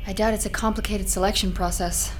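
A woman's voice: medium pitch, fairly even intonation, quick pace, soft background music, close to an everyday voice. An actress playing a trapped young woman in an audio horror drama, blunt and emotional, bitter, fatalistic and terrified. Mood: bitter, resigned. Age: young adult.

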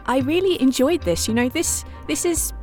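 A woman's voice: high voice, expressive, normal speed, a little mellow, soft background music. A woman playing an eager, good-natured young student scribe, slightly tentative, in a naturalistic fantasy audio drama. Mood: eager, friendly.

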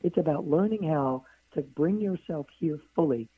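Hoarse woman on a phone line. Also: sits low, animated, normal speed, no room sound. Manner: flowing, builds long lists of phrases. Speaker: guest author. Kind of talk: radio interview answers. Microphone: phone call.

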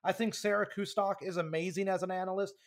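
High-voiced young man chatting sports. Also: steady tone, fast talker. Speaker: podcast co-host. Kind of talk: casual sports podcast chat.

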